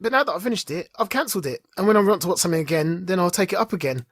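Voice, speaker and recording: An animated podcast co-host with a mid-pitched voice, recorded dry and close. The delivery is casual and opinionated, leaning hard on points in loose, chatty conversation. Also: racing, dry recording. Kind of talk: casual chat about streaming and geek culture.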